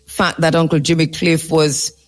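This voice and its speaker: A man hosting a conversational live radio talk, speaking reflectively and haltingly, repeating words for emphasis. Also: fairly high pitch, little pitch movement, soft background music. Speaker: radio host.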